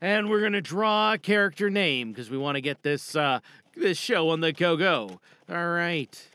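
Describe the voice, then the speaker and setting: A man's voice: on the high side, animated, moderate pace, sharp tone, clear, resonant tone, no echo. A man hosting a podcast in a casual, off-the-cuff way, rambling and often interrupting himself. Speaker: podcast host. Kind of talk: casual off-the-cuff hosted introduction. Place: small dry booth.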